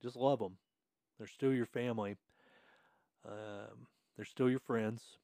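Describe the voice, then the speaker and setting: Easygoing podcast host, dry sound. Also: male voice, medium pitch, steady tone, slow pace, creaky, dark tone, no echo. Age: middle-aged. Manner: friendly, easygoing, laughs often. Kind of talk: casual podcast chat. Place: podcast room.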